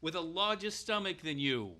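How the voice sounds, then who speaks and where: A man's voice: on the high side, animated, moderate pace, sharp tone. A man playing a burly, aggrieved, argumentative outlaw in a comic radio play. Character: big, burly, indignant male outlaw with hurt pride. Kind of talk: radio-play comedy acting.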